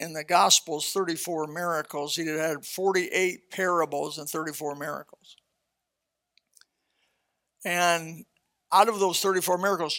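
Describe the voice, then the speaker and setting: Bright-voiced older man preaching folksy. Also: on the high side. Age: 70s. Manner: folksy, blunt, conversational with scripture reading. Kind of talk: casual sermon, Bible exposition.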